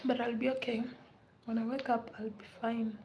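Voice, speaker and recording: A tired, unwell woman in a casual live stream, greeting viewers and chatting softly with frequent laughs, sometimes dropping to a whisper. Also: on the high side, level tone, normal speed, background noise. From Kenya. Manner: soft-spoken, laughs often, drifts into whispers. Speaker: livestreamer.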